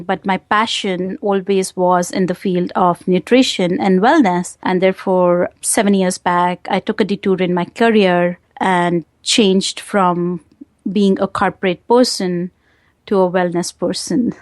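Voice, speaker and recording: A woman's voice: medium pitch, no echo. Earnest Indian-accented woman guest. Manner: earnest, measured, hesitant fillers. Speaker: guest, wellness coach. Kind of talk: interview answers.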